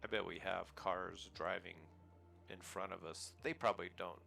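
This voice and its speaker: A man's voice: low-pitched, steady tone, moderate pace, vocal fry, pushed and bright, faint music behind. An American man casually commenting on his flight simulator gameplay in a rambling, easygoing way, chuckling at himself now and then. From United States. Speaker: flight sim gaming channel host.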